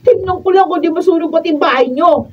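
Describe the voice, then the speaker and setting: An actress playing a lazy, brash pregnant young wife who nags and quarrels in a Filipino radio drama. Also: female voice, extremely high pitch, fast, a little mellow. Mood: defiant, scolding. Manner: brash, nagging, mouthy. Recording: distant, dull lo-fi radio studio capture.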